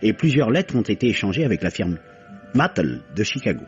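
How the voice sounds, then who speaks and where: A man's voice: fairly low pitch, very animated, fairly quick. An actor playing a polite, evasive businessman under questioning in a vintage French radio mystery, flustered and deferential. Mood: bewildered. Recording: dull old radio recording, music underneath.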